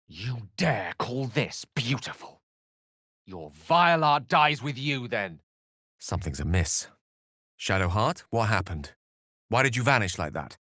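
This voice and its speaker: A man's voice: sits low, a bit bright, no room sound, clean studio sound, heavily acted. A man voicing an articulate, bookish human wizard in a video game, wry and gallant, with theatrical, wordy delivery. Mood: aggrieved, intense, then thoughtful, alert. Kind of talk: video game character acting.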